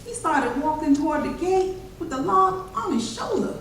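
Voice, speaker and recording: A woman tells a folk tale with very lively swings in a fairly high voice. She is far from the mic in a heavily echoing space. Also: moderate pace. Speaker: storyteller in readers theater. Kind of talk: animated folk-tale narration. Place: large echoey chapel during a live performance. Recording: very echoey hall, distant mic, faint noise.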